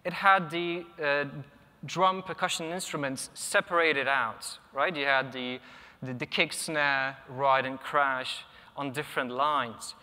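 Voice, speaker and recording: A man's voice: a little high, fairly even intonation, takes it slowly, pushed and bright. A young man whose first language is Polish, giving a technical conference talk from a lectern, thoughtful, with many ums and restarts. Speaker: conference speaker. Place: large conference hall, at a lectern. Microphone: lectern microphone. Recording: long hall reverb.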